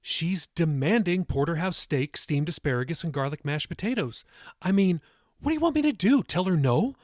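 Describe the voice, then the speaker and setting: A man's voice: high-pitched, moderate pace, dark tone, no room sound, clean studio sound, pitched above the performer's own voice, lightly acted. A man voicing a playful young mother in an audiobook story. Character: young wife and mother, playful, expecting a baby.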